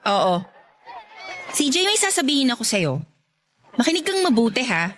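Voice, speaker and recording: A high-pitched, irritable young Filipino woman who hides her affection. She speaks bluntly, with a scolding, exasperated edge. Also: very animated, moderate pace, fairly bright tone, a little hiss or hum. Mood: firm, irritated. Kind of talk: Tagalog radio drama acting.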